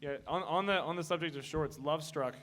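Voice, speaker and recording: A man's voice: on the high side, a little fast, a bit bright. A man talking casually as a member of a team on a convention panel, into a microphone. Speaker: panelist in an audio drama team. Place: convention meeting room with an audience.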